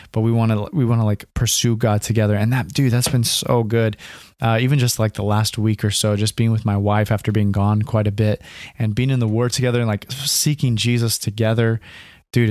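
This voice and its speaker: A man in his thirties, hoarse from a cold, hosting a solo podcast in a rambling, casual way full of fillers. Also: medium pitch, darkish tone, no echo, studio quality. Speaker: podcast host. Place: alone in a room at home. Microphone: home recording at a computer.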